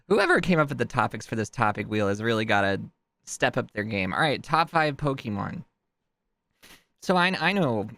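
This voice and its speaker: A man's voice: a bit bright, dry recording. Stuffy-nosed man rambling casually. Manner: rambling, self-interrupting, chuckling, jumps in pitch. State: stuffed-up nose from crying. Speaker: streamer.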